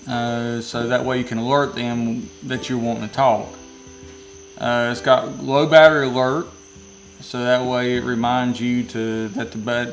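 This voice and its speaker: A man's voice: mid-range voice, rough recording. Folksy Southern man reviewing radios. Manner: rambling, self-correcting, folksy. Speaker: product reviewer. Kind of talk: casual product review. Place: inside a metal building.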